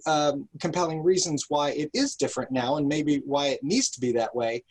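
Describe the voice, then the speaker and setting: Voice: medium pitch, darkish tone, rough recording. An interview guest speaking earnestly and emphatically, giving thoughtful answers in carefully weighed phrases. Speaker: director of a children's park, interviewed guest.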